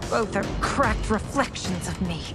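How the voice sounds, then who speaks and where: A regal warrior woman in a fantasy game, with a middling pitch. She sounds steadfast, formal and composed. Loud music runs under her voice. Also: lively intonation, a little slow. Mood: grim resolve. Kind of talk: dramatic video game cutscene acting.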